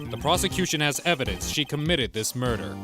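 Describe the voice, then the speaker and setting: A man's voice: medium pitch, very animated, a little fast, over music, close to an everyday voice. A man playing a cool, confident prosecutor in an amateur courtroom game dub, speaking in a clipped and formal manner.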